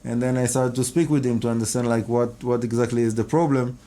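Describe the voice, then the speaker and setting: A rabbi whose first language is Hebrew, answering interview questions in English in a halting, earnest way, often restarting and repeating words. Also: male voice, medium pitch, flat delivery, dark tone. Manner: earnest, devout phrasing. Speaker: rabbi and kabbalah teacher.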